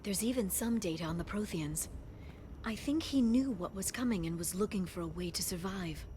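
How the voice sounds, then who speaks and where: A woman playing a gentle, scholarly alien information broker in a video game, speaking softly and earnestly. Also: mid-range voice, moderate pace, slight background noise, natural voice. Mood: scholarly, thoughtful.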